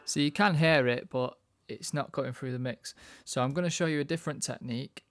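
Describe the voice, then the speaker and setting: A man giving a casual, informal music software tutorial, thinking aloud as he works. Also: mid-range voice, fairly quick, no echo.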